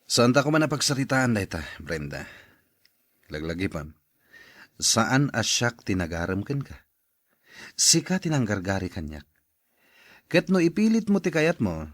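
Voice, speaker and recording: A man's voice: deep voice, big pitch swings, measured pace, long pauses, no echo, studio quality, exaggerated voice. A man playing an evasive married man in an Ilocano radio drama. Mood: defensive, exasperated. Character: evasive married man, grown son and cheating husband.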